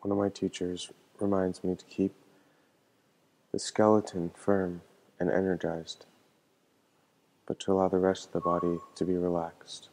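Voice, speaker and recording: A man leading a guided meditation as a teacher, speaking in a calm, gentle and measured way. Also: deep voice, low-quality recording.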